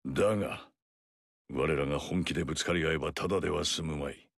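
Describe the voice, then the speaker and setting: An actor playing a dignified samurai general in a video game, speaking with formal, measured courtesy. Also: male voice, a bass voice, gravelly, dry recording, clean studio sound, heavily acted. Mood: earnest. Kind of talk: video game story dialogue.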